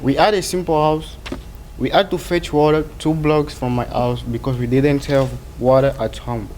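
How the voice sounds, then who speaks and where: A teenage young man from Angola, a native Portuguese speaker with an Angolan Portuguese accent, giving a prepared personal speech in careful, halting English. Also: medium pitch, little pitch movement, darkish tone, slight background noise. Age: teens. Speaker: student award presenter.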